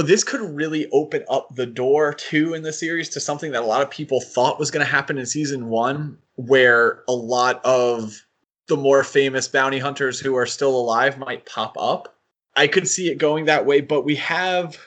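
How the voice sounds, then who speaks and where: A man with a middle-pitched voice co-hosts a casual podcast discussion. He thinks aloud, speculating and adding asides as he goes. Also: a bit bright. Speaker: podcast co-host.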